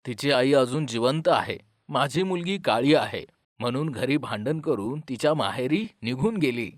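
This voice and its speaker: A man voicing a gentle, dutiful son and father in an animated moral story. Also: mid-range voice, moderate pace, no echo, clean studio sound, natural voice. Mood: calm, consoling.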